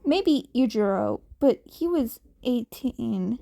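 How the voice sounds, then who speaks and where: A woman's voice: on the high side, lively intonation, takes it slowly, vocal fry, mellow. A woman reading a fan fiction story aloud as scripted audiobook narration. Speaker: podfic narrator.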